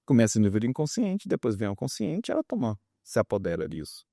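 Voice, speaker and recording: A man's voice: lowish voice, wildly swooping pitch, fairly quick, dark tone, no room sound, clean studio sound. A man, explaining his opinions in an interview with examples and repeated words for emphasis. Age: 50s. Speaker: guest psychologist and author.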